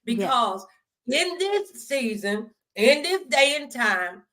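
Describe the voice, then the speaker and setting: A woman's voice: a little high, big pitch swings, slow pace, sharp tone. An American woman hosting a conversational faith interview, speaking in an emphatic, affirming, folksy way. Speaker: talk show host.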